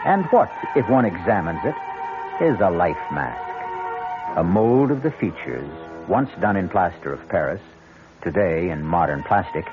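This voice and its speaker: A sinister storyteller for old-time radio mystery. His lowish voice moves dramatically up and down, over clear music on a tinny recording. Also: exaggerated voice. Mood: ominous. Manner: ominous, dramatic, measured phrasing. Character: macabre, sinister, deliberate male storyteller host. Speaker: host and narrator. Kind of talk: vintage radio mystery narration.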